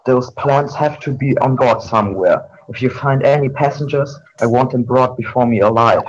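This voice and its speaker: A man voicing a menacing, disdainful dark alicorn mare who gives commands in a cartoon fan fiction reading. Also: medium pitch, normal speed, voice pushed up, lightly acted. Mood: menacing, commanding. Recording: dull, noisy amateur mic.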